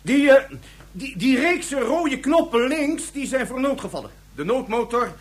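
An actor playing a nervous, eager-to-please space station attendant in a radio play, flustered, stammering and giggly. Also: male voice, very high-pitched, expressive, a little slow, exaggerated voice. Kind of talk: science-fiction radio drama acting.

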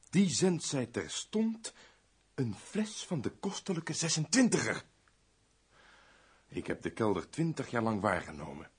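An actor playing a wordy, servile older steward of a noble house in a radio play, with a husky voice. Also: male voice, lowish voice, full character voice. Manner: wordy, long-winded, obsequious.

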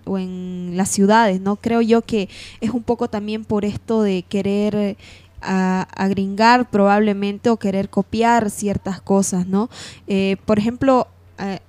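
A woman's voice: medium pitch, steady tone, unhurried, a little mellow, dry recording. A woman with a Bolivian Spanish accent in an informal on-air discussion, feeling out her ideas with repeated words and hesitations. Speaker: radio discussion panelist.

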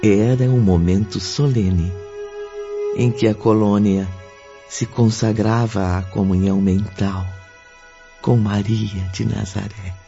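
A man's voice: fairly low pitch, fairly even intonation, slow pace, warm tone. A man narrating a radio drama as a solemn storyteller, with music behind him. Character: solemn male storyteller of a spiritist tale. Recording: dull lo-fi audio with music. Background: clear background music.

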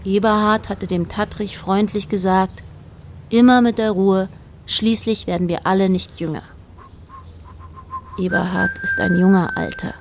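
A woman narrating a literary radio play in a measured, precise manner. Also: mid-range voice, huge pitch swings, slight background noise.

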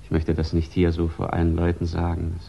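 A man's voice: deep voice, completely flat delivery, vocal fry. An actor playing a discreet, reluctant businessman giving guarded testimony in a 1960s German radio crime drama. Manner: guarded, hesitant, measured. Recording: dull 1960s radio recording.

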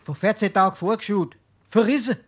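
A man's voice: high-pitched, a little fast, slightly rough. A man playing a roguish, boozy sponger in a comic dialect play, with a Hessian Darmstadt accent and a hammy, wheedling delivery. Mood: scheming, wheedling. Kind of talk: comic dialect stage acting.

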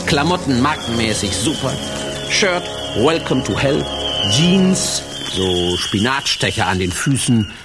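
A wry fairy-tale narrator in a scripted radio play. He takes his time with lively intonation and clipped phrases, over loud music. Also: medium pitch. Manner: deliberate, clipped listing phrases.

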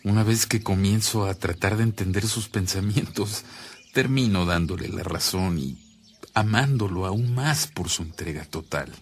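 An actor with a Mexican accent playing an earnest, reflective priest in a radio drama, speaking in a measured, solemn way. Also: male voice, deep voice, slight background noise. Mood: concerned, reassuring. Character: earnest, reflective Mexican priest, calming his worried sister. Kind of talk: Spanish-language radio drama acting.

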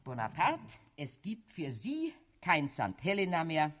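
A man's voice: on the high side, wildly swooping pitch, a little slow, fairly bright tone, exaggerated voice. An actor playing a scheming old cardinal statesman in a radio drama, fanatical and coldly authoritarian, with declamatory, sardonic delivery. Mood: fanatical, solemn. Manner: declamatory, sardonic, chuckling rhetoric. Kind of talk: German-language radio drama acting.

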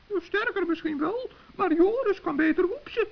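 Tiny gnome fella, really high, earnest and fretful, muffled old kids radio play. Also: lively intonation, moderate pace, gravelly, full character voice. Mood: matter-of-fact. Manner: fretful, earnest, stammering when upset. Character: good-hearted, easily alarmed little male gnome Paulus. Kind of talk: 1960s children's radio play acting.

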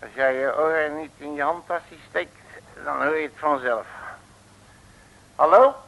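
An actor playing a cocky, boastful detective who talks in brash, bossy slang, in the style of a 1950s radio comedy serial. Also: male voice, on the high side, unhurried, vocal fry, a bit bright. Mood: brash, impatient. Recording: dull old radio recording.

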